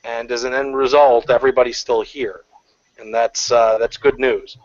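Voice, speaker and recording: A man's voice: mid-range voice, flat delivery, moderate pace, dry recording. A man in a casual online panel chat, rambling with lots of fillers and restarts. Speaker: panel guest. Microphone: video call. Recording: lo-fi online call audio.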